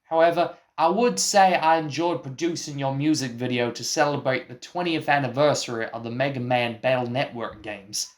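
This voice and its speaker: A man's voice: medium pitch, normal speed, hardly any pauses, natural voice. A man playing an eccentric, evasive film collaborator in a comic radio play, insistent and theatrically cryptic. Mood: matter-of-fact. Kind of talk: scripted comic mock interview.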